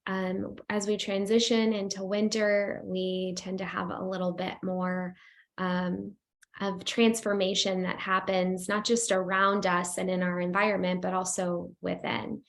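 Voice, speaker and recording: A woman yoga teacher guiding a restorative class in a gentle, unhurried, invitational way. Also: medium pitch, flat delivery. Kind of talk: slow guided restorative yoga instruction. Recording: roomy echo, distant microphone.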